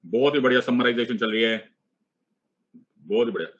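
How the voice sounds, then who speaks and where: A man's voice: mid-range voice, fairly quick, fairly bright tone, highs cut off. A middle-aged man teaching an online exam-prep class, playful and teasing as he switches between Hindi and English. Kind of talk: live online class lecture.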